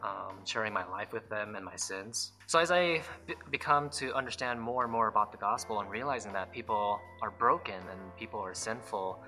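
A young man sharing a sincere church testimony in plain, unpolished speech with fillers and restarts. His voice is very bright and sharp, pitched a bit low, with faint music under him. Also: normal speed, few pauses. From Reno, Nevada, born in South Korea. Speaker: church member giving testimony. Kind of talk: interview testimony for a church video.

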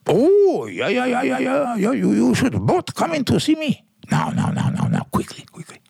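A man playing a fussy, excitable, pompous psychiatrist with a raspy voice and stammering repetitions, in 1940s radio comedy style. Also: extremely high pitch, moderate pace, no room sound, studio quality, exaggerated voice. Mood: flustered, excitable. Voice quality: raspy, creaky. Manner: fussy, stammering, repeating words.

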